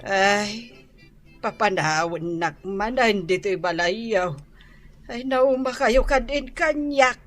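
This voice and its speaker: A woman's voice: mid-range voice, a bit bright, soft background music. A woman playing a frail, confused, querulous elderly mother with an Ilocano Filipino accent, sighing through a radio drama. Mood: weary, plaintive. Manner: slow, sighing, interjecting.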